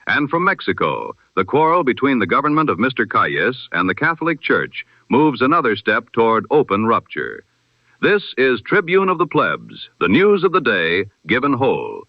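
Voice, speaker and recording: A man's voice: medium pitch, huge pitch swings, moderate pace, dry recording. Man, 1920s news bulletin, dull vintage radio sound, very dry, oratorical, solemn. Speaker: news presenter. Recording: dull, band-limited old radio sound.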